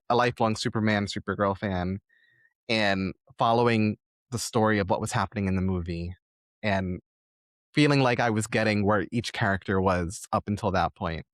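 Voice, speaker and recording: A man's voice: on the low side, no echo, studio quality. A man hosting a conversational podcast interview, speaking in an earnest, effusive and reflective way. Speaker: podcast host.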